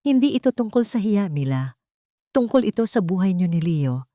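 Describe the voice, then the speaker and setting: Calm protective male lawyer, voiced by a woman. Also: mid-range voice, moderate pace, no echo, studio quality, lightly acted. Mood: firm, reassuring. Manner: calm, earnest explaining.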